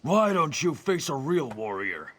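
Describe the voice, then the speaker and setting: An actor playing a battle-hungry male orc hunter in a video game, gruff, menacing and taunting. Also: fairly high pitch, big pitch swings, measured pace, a bit bright, no room sound, heavily acted. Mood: menacing, eager. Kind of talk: video game character acting.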